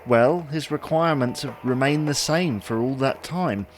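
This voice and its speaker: A man's voice: medium pitch, moderate pace, soft background music, lightly acted. A man playing a chatty, fussy, wryly puzzled husband in an audio sitcom. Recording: noticeable background noise.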